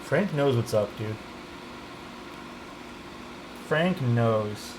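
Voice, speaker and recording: A man's voice: mid-range voice, animated, slow, background noise. Easygoing Toronto guy chatting with his stream. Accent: Toronto Canadian. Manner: easygoing, chatty, answers chat while soldering. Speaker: livestreamer building a keyboard. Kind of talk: casual livestream chat.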